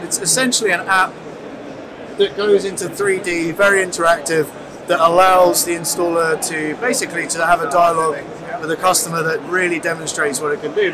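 A man interviewing at a trade show stand in a large exhibition hall, speaking in a relaxed, conversational way. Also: on the high side, background noise. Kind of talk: casual interview questions.

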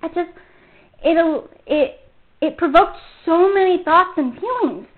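A woman's voice: very high-pitched, slow pace. A woman hosting a casual solo fan podcast, talking in a gushing, enthusiastic fangirl manner. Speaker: podcast host. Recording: muffled phone-like narrow-band sound.

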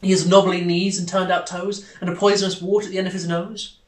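A man's voice: high-pitched, level tone, brisk, reverberant. A young man reading a children's story aloud expressively. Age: young adult. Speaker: storybook reader. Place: small indoor room, on a sofa.

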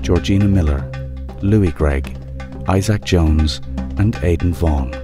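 Deep male announcer over loud music. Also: measured pace. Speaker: credits announcer. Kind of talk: scripted closing credits. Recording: loud drum music behind.

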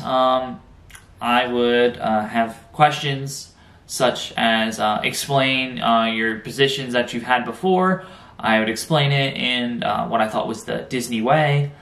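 A young American man, around twenty, talking casually and haltingly to camera in a vlog, pausing often mid-sentence. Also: mid-range voice, fairly bright tone. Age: young adult, around 20. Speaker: vlogger. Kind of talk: casual reaction vlog, recounting an experience. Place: small bedroom, indoors. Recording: roomy, echoey bedroom sound.

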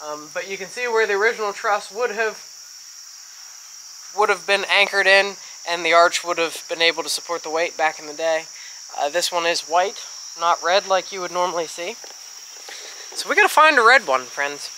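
A man in his thirties chats with his viewers in a bright, forward voice while holding the camera at arm's length. He explains what he sees as he walks along a creek outdoors. Faint crickets and insects hum in the background. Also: sits high, normal speed, poor audio. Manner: chatty, explains as he goes, addresses viewers as friends. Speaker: travel vlogger. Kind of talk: casual walk-and-talk vlog. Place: outdoors by a covered bridge and creek. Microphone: handheld camera at arm's length.

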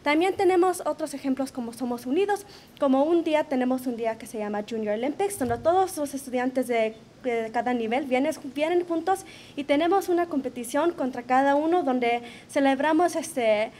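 A teenage girl, a student mentor, giving an earnest prepared speech to parents from a podium, pausing often on filler words. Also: high voice, a little mellow, slight background noise. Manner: earnest, many hesitations with "este". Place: school hall at a podium. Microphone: podium microphone.

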